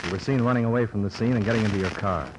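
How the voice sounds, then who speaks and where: An American actor playing a skeptical, terse insurance investigator in an old-time radio detective drama, on a noisy vintage recording. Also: male voice, fairly low pitch, rapid-fire, natural voice. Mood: skeptical, probing. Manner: terse, dry, blunt questioning. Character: hard-boiled, skeptical male insurance investigator. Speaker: private insurance investigator, lead character. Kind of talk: 1940s-50s American radio detective acting.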